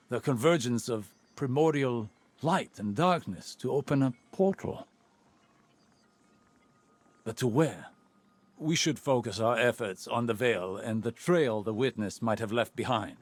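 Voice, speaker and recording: An actor playing an analytical, stern but steadfast veteran scholar in dramatic game dialogue, serious and deliberate. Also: male voice, medium pitch, darkish tone.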